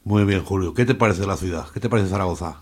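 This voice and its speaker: Male, low voice, radio interviewer, informal questioning. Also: quick pace.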